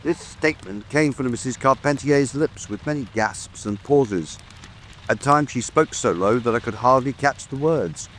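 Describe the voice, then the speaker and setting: A man's voice: mid-range voice, lively intonation, normal speed, fairly bright tone, a little hiss or hum, close to an everyday voice, rough recording. A man playing a self-satisfied, officious police detective, formal and methodical, in a dramatic audiobook. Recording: faint rain ambience behind.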